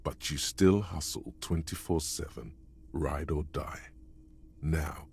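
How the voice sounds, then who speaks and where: An actor voicing a game's narrator, an inner voice that addresses the player with wry, goading remarks in a deep, husky tone. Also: male voice, takes it slowly, darkish tone, heavily acted. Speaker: game narrator voice. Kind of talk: scripted game narration.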